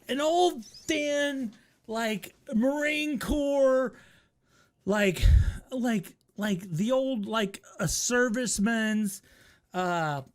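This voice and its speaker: A middle-aged American man with a notably high-pitched voice tells a casual story. He rambles through it with salty language, acting bits out in an animated, comic manner. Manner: profane, animated, acts out his remarks. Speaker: storyteller, host.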